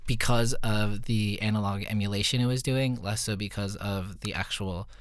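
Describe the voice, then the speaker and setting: A man's voice: on the low side, steady tone, moderate pace, no echo, clean studio sound. A man talking casually and informally, as a musician walking listeners through a recording. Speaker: musician breaking down own recorded song. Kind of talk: casual behind-the-music walkthrough.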